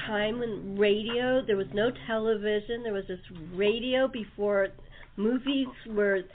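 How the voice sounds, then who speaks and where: A woman's voice: mid-range voice, steady tone, measured pace, a little hiss or hum. A woman talk show host chatting casually in a chatty, enthusiastic way. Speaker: talk show host and radio-play producer. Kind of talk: casual chat. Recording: thin narrow phone-line audio.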